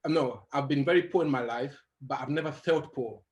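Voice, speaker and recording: A young adult man who grew up in Uganda, telling his life story as a guest in an interview, animated and laughing as he goes. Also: medium pitch, a little fast. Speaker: guest motivational speaker.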